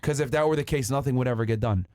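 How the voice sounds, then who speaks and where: A man's voice: mid-range voice, very fast, darkish tone, no room sound, studio quality. A man hosting a casual debate, questioning his guest bluntly and cutting in to challenge her. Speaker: podcast host.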